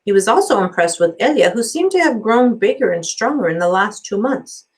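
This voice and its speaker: A woman narrating a children's novel aloud as a teacher would, with expressive storybook delivery. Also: mid-range voice, fairly quick, breathy edge.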